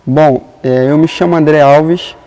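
A man's voice: a little high, measured pace. A man in his late twenties with a Brazilian accent, a dance teacher recounting in an interview how his company began, with many fillers. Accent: Brazilian Portuguese. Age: 20s. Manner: relaxed storytelling with frequent fillers. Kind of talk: documentary interview. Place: outdoors in front of a painted mural. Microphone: lapel.

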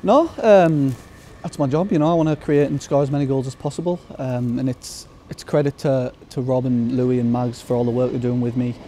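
A man's voice: mid-range voice, steady tone, brisk, few pauses, mellow, slight background noise. A young footballer in his twenties, giving a modest, upbeat post-match interview outdoors at the ground at night, with lots of fillers and restarts.

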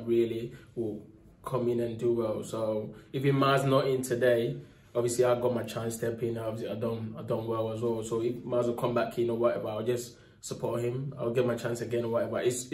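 Soft-spoken young man in an echoey room. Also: medium pitch. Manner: soft-spoken, many fillers, leaning on "obviously". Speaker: footballer. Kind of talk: post-match interview. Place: indoor press room in front of a sponsor board. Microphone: phone held near by the reporter.

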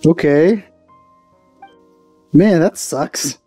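Mellow-voiced man casually commentating a game. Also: fairly high pitch, very slow, slightly rough, dry recording. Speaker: let's player. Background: game music.

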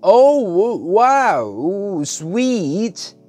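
A man reading an audiobook, voicing a crude, brash young boy who talks loudly and taunts. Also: a little high, animated, slow pace, soft background music, voice pushed up. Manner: loud, taunting, gleeful.

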